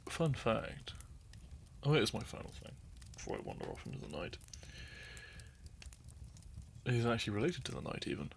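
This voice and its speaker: A man's voice: mid-range voice, wildly swooping pitch, moderate pace, vocal fry, pauses often, slight background noise. A young man talking to his listeners in a meandering, unscripted ramble. He laughs often, loses his thread, and sips wine as he goes. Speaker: audio content creator.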